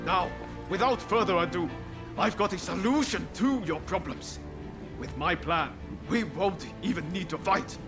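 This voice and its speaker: Higher-voiced, confident rebel, music behind. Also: male voice, a little slow. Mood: breezy, confident. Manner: breezy, self-assured. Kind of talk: dramatic video game cutscene acting.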